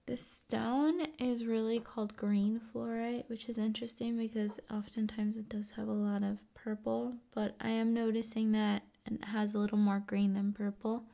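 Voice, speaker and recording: A woman hosting ASMR in a calm, soothing manner, moving between soft speech and whispering. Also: on the high side, normal speed, creaky, few pauses, muffled recording. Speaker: ASMR video host.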